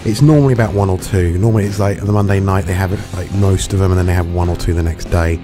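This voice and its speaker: A hungover man with a gravelly voice and dry throat, rambling through casual, sweary commentary as a gaming reviewer. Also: sits low, steady tone, fast. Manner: rambling, chatty, swears freely. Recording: music playing underneath.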